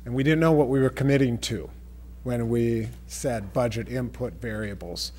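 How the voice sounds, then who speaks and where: A middle-aged man, a district administrator, explaining the budget steps to the board in a steady, explanatory way. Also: medium pitch, moderate pace. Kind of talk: budget presentation. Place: board meeting room. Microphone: desk mic.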